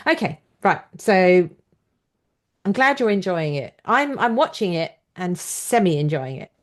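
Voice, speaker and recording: A woman's voice: fairly low pitch, very animated, measured pace. A woman hosting a conversational interview, explaining her views in an analytical way. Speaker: podcast host and interviewer. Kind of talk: conversational book and screen discussion.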